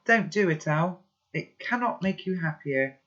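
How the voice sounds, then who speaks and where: A reader voicing a calm, wise elderly grandfather who speaks slowly and thoughtfully, in a slightly muffled recording. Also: medium pitch, pitched above the performer's own voice. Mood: calm, gently wise. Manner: slow, measured, philosophical.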